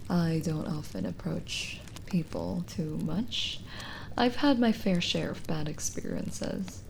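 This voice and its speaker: A kindly, lonely witch voiced by a woman talks intimately to the listener in an ASMR roleplay. Her voice is soft, a little low and creaky, often dipping toward a whisper. She is gently teasing and chatty, over audible background noise. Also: exaggerated voice, rough recording. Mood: wistful. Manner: slow, hesitant, gently teasing.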